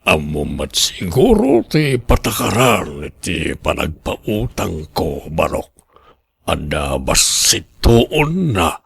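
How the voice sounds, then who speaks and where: Very deep, gravelly old Ilocano moneylender played by a man. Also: huge pitch swings, takes it slowly, a bit bright, dry recording, clean studio sound, exaggerated voice. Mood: guarded, measured. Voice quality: gravelly, husky. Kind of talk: Filipino radio drama acting.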